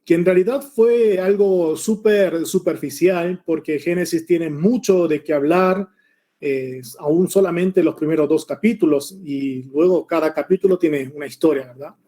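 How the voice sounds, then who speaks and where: A man in his forties, born in Korea and raised in Argentina, speaking Spanish with a mix of Argentine and Mexican accent, teaching a Bible lesson over a video call in a relaxed, chatty way with many hesitations. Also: a little high, measured pace. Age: 40s.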